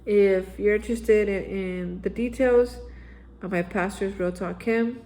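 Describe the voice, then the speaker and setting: A man's voice: high voice, steady tone, measured pace, a little mellow, rough recording. Earnest male vlogger with a plain speaking voice; exhorting, repetitive spiritual reflection. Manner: earnest, exhorting, repeats phrases and says "man". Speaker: recovery vlogger. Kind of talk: daily spiritual reflection monologue. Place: Austin, Texas area.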